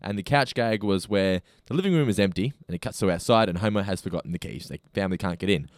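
A man co-hosting a podcast, sounding stuffy from hay fever, in quick, lively casual chat. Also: low voice, no echo, clean studio sound. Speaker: podcast co-host.

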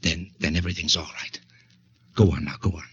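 An actor playing a guilty, desperate man who soothes his sweetheart, in forceful, husky 1940s radio drama style. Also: low-pitched, fairly even intonation, a little fast, full character voice. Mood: soothing, urgent. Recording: muffled 1940s radio recording.

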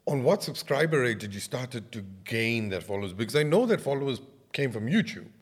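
A man with a South African accent hosting a conversational podcast interview, speaking haltingly and repeating words as he forms questions. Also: medium pitch, very animated, slightly rough. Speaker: podcast host. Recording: reverberant, hall-like echo.

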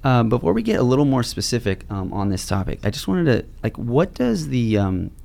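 A man's voice: medium pitch, big pitch swings, fairly quick, vocal fry, a little mellow. A young man hosting a podcast, chatting loosely with lots of fillers and rambling questions. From California. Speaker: podcast host. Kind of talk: casual interview chat.

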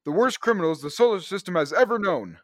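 A young man playing an earnest, excitable space captain in a 1930s-style radio serial, exclamatory and emphatic. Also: sits high, fast, no room sound, clean studio sound. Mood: shocked, urgent. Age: young adult.

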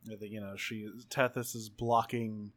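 A man narrating improvised action in a tabletop roleplaying game, halting as he thinks aloud, with dramatic flourishes. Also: fairly low pitch, little pitch movement, a bit bright. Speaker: tabletop game player narrating his character.

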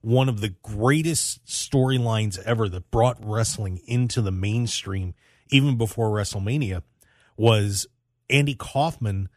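An American man hosting a sports talk radio show, telling stories in an animated way with emphatic repetition. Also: fairly low pitch, no echo, clean studio sound.

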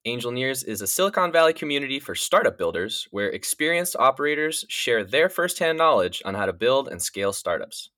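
A man's voice: mid-range voice, very animated, a little fast, few pauses, pushed and bright, no room sound, studio quality. A man hosting a podcast, reading a scripted intro and then chatting loosely with his guest. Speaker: podcast host. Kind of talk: podcast interviewing.

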